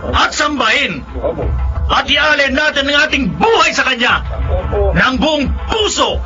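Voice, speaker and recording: A man with a Filipino accent playing a fervent, commanding faith healer preaching slowly in a radio drama. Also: very high-pitched, expressive. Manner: solemn, commanding, slow oratory. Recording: dull sound under loud music.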